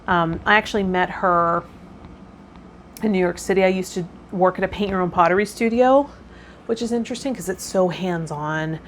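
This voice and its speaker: A woman's voice: on the low side, moderate pace. A woman hosting a personal vlog, talking casually in a rambling, self-effacing way. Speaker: host of a tarot vlog. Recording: clear background noise.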